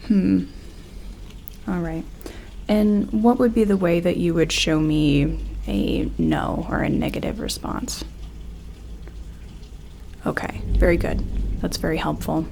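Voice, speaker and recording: A woman playing a gentle, respectful sci-fi colony medic in a soft-spoken, hesitant ASMR roleplay, close to the microphone. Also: lowish voice, creaky, a little hiss or hum. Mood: gentle, curious. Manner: hushed, hesitant, reassuring, informal.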